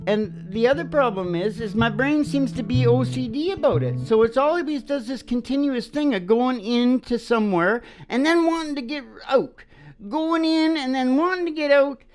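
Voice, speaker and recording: A man's voice: very high-pitched, normal speed, soft background music. A man hosting a radio show in a casual, rambling comic monologue, with a folksy, theatrical way of talking. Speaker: radio show host and singer.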